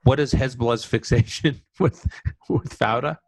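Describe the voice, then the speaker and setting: A man hosting a live conversation, moderating questions from the audience in a brisk, eager way that keeps jumping ahead. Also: medium pitch, dry recording, faint music behind. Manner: brisk, eager, quick to jump in. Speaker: interviewer and host.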